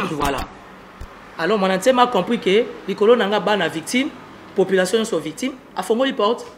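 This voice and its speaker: A man's voice: high voice, expressive, brisk, a little hiss or hum. A man from the Congo with a Congolese accent, giving political commentary as an interviewed analyst, emphatic and often repeating his phrases.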